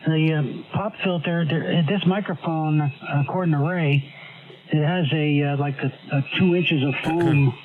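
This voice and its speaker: A man on a ham radio link, chatting casually and relaxed about his microphone setup. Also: a little high, unhurried. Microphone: boom mic with foot pedal. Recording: tinny radio signal with background chatter.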